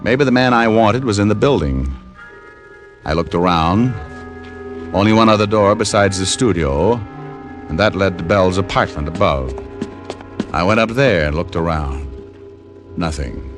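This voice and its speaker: An actor playing a wisecracking, hard-boiled American private detective in an old-time radio drama, narrating and talking with dry confidence. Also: male voice, sits low, normal speed, long pauses, music in the background. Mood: wry narrating. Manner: wry, deadpan wisecracking.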